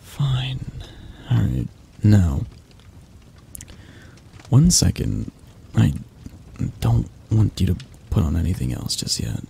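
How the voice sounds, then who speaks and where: A man playing a possessive, doting mafia boss in an ASMR roleplay, speaking in a husky, halting, intimate and condescending way. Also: lowish voice, very animated, dark tone, a little hiss or hum, heavily acted. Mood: pleased, playful. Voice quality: husky, breathy. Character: possessive young male mafia boss, doting yet controlling.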